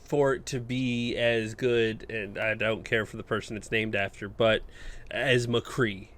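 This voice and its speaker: A man's voice: on the low side, level tone, a little slow, fairly bright tone. A man co-hosting a podcast, chatting casually about gaming news. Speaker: podcast co-host.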